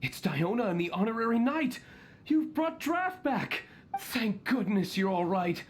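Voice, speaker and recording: A man playing an earnest, excitable young hunter who is loyal to his leader in a video game. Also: high voice, a little slow, a little hiss or hum. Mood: relieved, anxious.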